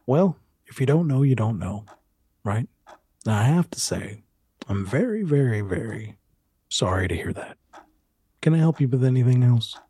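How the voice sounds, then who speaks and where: A man playing a courteous, quietly menacing film studio boss in an audio drama, questioning slowly with insinuating hesitations. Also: mid-range voice, expressive, slightly rough, dry recording. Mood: pressing. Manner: halting, deliberate, insinuating questioning. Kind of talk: 1920s-set audio drama roleplay.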